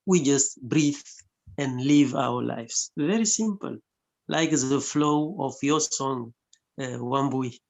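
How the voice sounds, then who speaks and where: Slow gravelly man, gentle and measured. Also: mid-range voice. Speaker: participant giving feedback.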